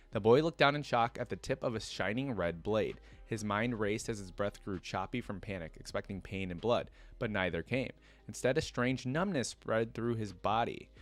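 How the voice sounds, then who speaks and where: Low-voiced man reading and reacting. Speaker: reaction video host. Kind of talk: reading a fantasy story aloud with casual reaction asides.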